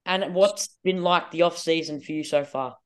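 High-pitched upbeat male host. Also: moderate pace. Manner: upbeat, eager questions. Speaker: podcast host. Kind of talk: interview podcast.

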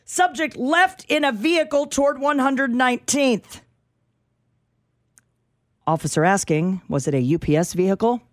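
A woman's voice: a little high, expressive, normal speed, pauses often, no room sound. A woman co-hosting a radio show who reads police scanner reports in a dry, matter-of-fact dispatcher style between bits of banter. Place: radio studio. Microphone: studio microphone.

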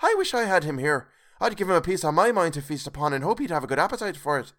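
A sharp-tongued, indignant mother, put on by a male narrator with a raised, biting voice in a storybook reading. She is scornful and fast with her words. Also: a little high, big pitch swings, voice pushed up.

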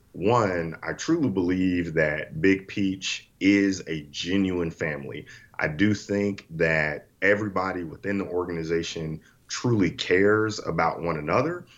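Man with a deep, earnest voice. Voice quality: deep, resonant radio voice. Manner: earnest and heartfelt. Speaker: podcast guest. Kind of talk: casual conversation. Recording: dull lo-fi audio.

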